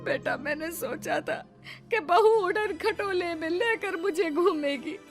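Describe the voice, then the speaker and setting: A woman voicing a greedy, fawning older mother-in-law in an animated moral story, melodramatic in her delivery. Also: very high-pitched, takes it slowly, music in the background, exaggerated voice. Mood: whiny, disappointed. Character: greedy, wheedling older mother-in-law dreaming of free flights.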